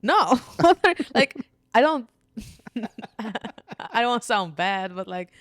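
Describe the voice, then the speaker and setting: A young woman from Bulgaria with a Bulgarian accent, a stand-up comedian chatting casually, laughing often and telling self-mocking stories at a quick clip. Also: fairly high pitch, sing-song, a bit bright, dry recording, clean studio sound. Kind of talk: casual chat.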